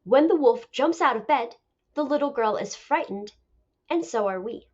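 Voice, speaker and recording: A woman teacher reading a picture book aloud as a lesson, with deliberate, expressive storytelling. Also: high-pitched. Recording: dull lo-fi recording.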